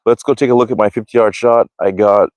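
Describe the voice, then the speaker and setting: Low-voiced American guy, casual and rambling. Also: fairly even intonation, fast talker, a little mellow, no room sound, muffled recording. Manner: informal, slangy, rambling. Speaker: livestream host, hobby archer. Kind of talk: casual livestream chat. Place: outdoors at a backyard archery range.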